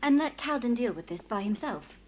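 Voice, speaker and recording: An actress playing a forthright, compassionate companion in a video game, with a direct, questioning manner. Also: female voice, fairly high pitch, animated, brisk, darkish tone, a little hiss or hum, natural voice. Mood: teasing.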